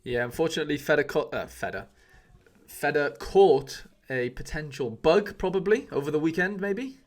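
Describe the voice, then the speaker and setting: A man's voice: a little high, animated, normal speed. A man hosting a casual podcast, upbeat and teasing his co-host.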